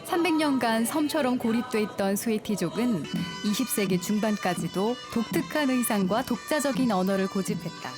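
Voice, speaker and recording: Calm woman narrating a travel documentary. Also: on the high side. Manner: calm, measured storytelling. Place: studio voice-over. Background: music.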